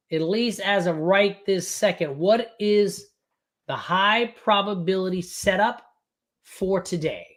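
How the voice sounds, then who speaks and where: A man running a live trading class, recovering from a cold, talking in a loud, brash and profane way with lots of rambling asides. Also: sits high. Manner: casual, fast and rambling, with frequent "right?". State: recovering from a cold, voice not fully back. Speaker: day trading coach.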